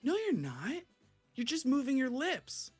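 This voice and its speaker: A woman's voice: high voice, wildly swooping pitch, measured pace, slightly rough, soft background music, full character voice. A woman playing an observant, insistent young boy in a live comedy table read.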